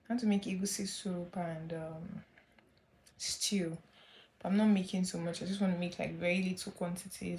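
A young woman, chatting casually at home as a lifestyle vlogger, relaxed and gushing with soft laughs. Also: fairly low pitch, a little hiss or hum. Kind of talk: casual chat to camera.

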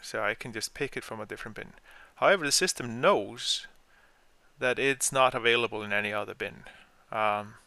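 A man explaining software step by step in an informal screen-share tutorial, as the trainer. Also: lowish voice, normal speed, dry recording.

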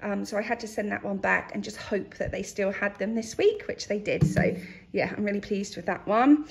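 A woman's voice: mid-range voice, moderate pace, creaky, poor audio. A woman, casually chatting through her grocery haul in her kitchen. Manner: chatty, relaxed, with frequent ums. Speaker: vlogger showing a grocery haul. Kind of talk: casual chat to camera. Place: kitchen, indoors.

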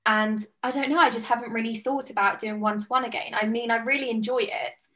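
A woman's voice: a little high, fairly even intonation, fairly quick, sharp tone. A woman in her twenties from the United Kingdom, a fitness coach chatting enthusiastically on a video call. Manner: chatty, enthusiastic, gestures with hands. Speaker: guest, online fitness coach. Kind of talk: casual video-call chat. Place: small plain-walled room indoors.

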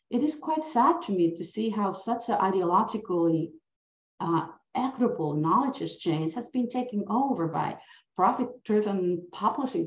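A woman's voice: lowish voice, vocal fry, darkish tone. A middle-aged woman with a Finnish accent, a native Finnish speaker, giving an earnest, reflective academic lecture over a video call and asking many questions. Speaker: university professor. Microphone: laptop mic on a video call. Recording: roomy, dull-sounding video call.

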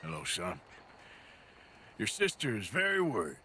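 A man's voice: lowish voice, wildly swooping pitch, a little slow, bright, forceful tone, a little hiss or hum, full character voice. An actor playing a rugged outlaw in his thirties in a game drama, bitter and world-weary, with an American drawl. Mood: wary, tired. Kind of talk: dramatic video game acting.

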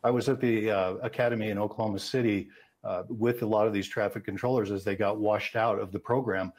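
A man's voice: lowish voice, steady tone, brisk, darkish tone. An American man in his sixties giving expert safety commentary in a news interview over a video call. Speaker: aviation safety analyst. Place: indoor room on a video call.

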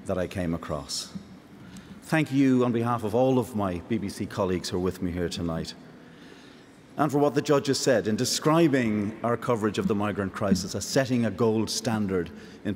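A middle-aged Irish man giving an earnest, measured acceptance speech at a podium in a large ceremony hall. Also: lowish voice, a little mellow. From Ireland. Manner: measured, earnest, rising to passionate emphasis. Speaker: award recipient, journalist. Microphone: podium microphone. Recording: long hall reverb, faint noise.

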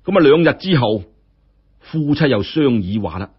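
A man telling a story in colloquial, expressive narration with wry asides. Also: mid-range voice, normal speed, slightly rough. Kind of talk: dramatic audiobook-style narration. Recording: dull, muffled lo-fi audio.

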